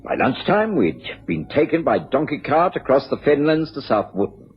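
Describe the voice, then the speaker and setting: A genial English doctor telling a radio mystery. His pitch swings widely through genteel, measured phrases. The recording is muffled, with music prominent behind him. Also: sing-song, husky. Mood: reflective storytelling. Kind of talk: radio drama narration and dialogue.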